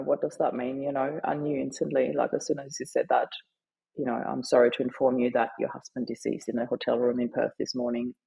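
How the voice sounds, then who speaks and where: A middle-aged woman whose first language is German, sharing her story earnestly and haltingly in an interview. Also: very deep voice, vocal fry, warm tone, slightly rough, rough recording. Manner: earnest, halting, with frequent fillers. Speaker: guest, grief advocate and author.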